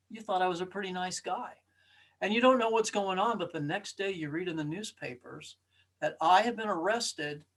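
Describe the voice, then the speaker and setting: High-voiced man telling a dramatic story. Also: fairly bright tone. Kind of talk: dramatic spoken parable, acting out dialogue.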